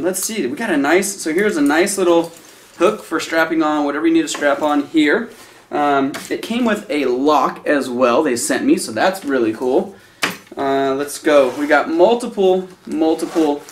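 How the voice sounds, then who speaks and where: A man's voice: fairly high pitch, a little hiss or hum. Chatty man reviewing gear, echoey room. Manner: enthusiastic, chatty, addresses viewers as guys. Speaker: product reviewer. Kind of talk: casual unboxing review. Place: small indoor room with a decorated Halloween backdrop.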